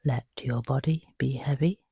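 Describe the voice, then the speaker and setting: A meditation guide with a soft, breathy voice, narrating a sleep meditation in a hushed, soothing, unhurried way with long pauses. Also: medium pitch, fairly even intonation, no echo.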